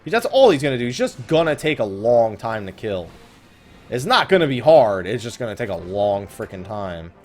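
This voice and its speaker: A man's voice: medium pitch, big pitch swings, normal speed, faint music behind. A man giving casual let's play commentary on a video game, swearing and griping with sarcasm. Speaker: host of a gaming let's play series.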